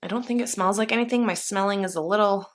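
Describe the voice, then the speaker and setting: A woman's voice: mid-range voice, fast. A woman vlogger chatting casually while unboxing a beauty box, a little congested, rambling with fillers. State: congested from allergies. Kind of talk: casual unboxing chat to camera.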